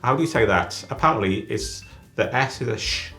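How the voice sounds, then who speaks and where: A man planning a trip on camera, chatting in a halting way that restarts its sentences. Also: medium pitch, expressive, bright, forceful tone, faint music behind. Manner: chatty, halting, self-correcting. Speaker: travel vlogger. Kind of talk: casual chat.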